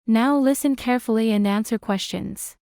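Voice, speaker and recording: A woman's voice: a little high, moderate pace, warm tone. A woman reading scripted instructions as a listening test instructor, with noise in the recording.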